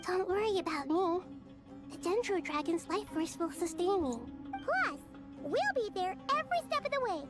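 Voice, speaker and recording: A woman voicing a perky, eager, childlike little companion sprite with a squeaky voice in a video game. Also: sing-song, normal speed, music in the background. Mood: reassuring. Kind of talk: video game cartoon-like voice acting.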